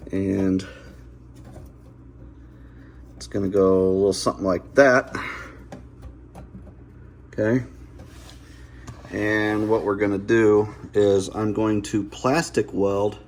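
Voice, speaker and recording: A man's voice: low-pitched, a little mellow. A middle-aged man casually talking through a hands-on DIY job, informal and folksy, thinking aloud as he goes. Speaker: host of a DIY install video. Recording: noticeable background noise.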